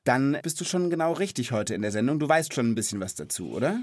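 A man's voice: mid-range voice, very animated, quick pace, darkish tone, dry recording. A man in his thirties hosting a children's podcast, explaining things in an informal, chatty, conversational way.

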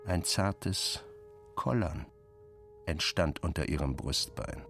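A man reading first-person literary narration in a deliberate, dramatic manner. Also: deep voice.

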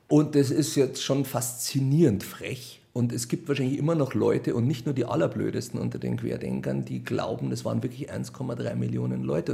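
A man's voice: mid-range voice, creaky, dark tone. A man with a Bavarian accent answering interview questions in a wry, colloquial, conversational way. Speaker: radio play author and director being interviewed.

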